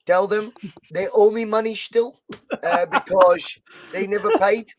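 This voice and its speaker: A man's voice: sits high, steady tone, unhurried. A man hosting a podcast, joking and teasing his guest in casual banter. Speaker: podcast host.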